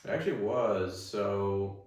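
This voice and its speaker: A man's voice: lowish voice, slow pace. Rambling young man, echoey distant mic. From Staten Island, New York. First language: Russian. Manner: relaxed, rambling with many fillers and restarts. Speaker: guest. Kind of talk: casual interview chat.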